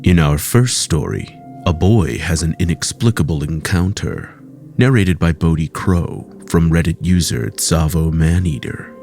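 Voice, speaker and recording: A man's voice: rumbling low, animated, creaky, a little mellow, soft background music. A man giving the scripted, ominous introductions to a horror podcast. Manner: slow, ominous announcing. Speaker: podcast host.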